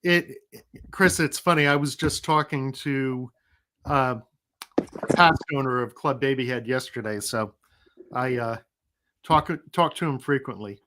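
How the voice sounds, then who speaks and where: Man, rhode island accent, middle-aged, easygoing livestream host, relaxed chatter. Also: mid-range voice, unhurried, long pauses. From New England. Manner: chatty, reads out viewer comments. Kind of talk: casual live chat.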